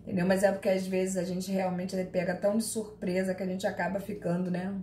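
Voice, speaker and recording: A woman's voice: medium pitch, flat delivery, a little fast, dark tone. A chatty woman with a Carioca accent lectures on law, teasing her students by name between points. Her voice sits in an ordinary middle range. She is recorded in an echoey room with faint ambient noise behind her. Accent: Carioca Portuguese. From Rio de Janeiro, Brazil. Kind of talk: live online class, reading chat questions.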